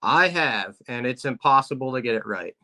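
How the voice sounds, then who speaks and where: Pennsylvania man, co-host, deadpan joker, bright tone. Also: medium pitch, moderate pace. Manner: joking, deadpan tall tales. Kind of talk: casual chat.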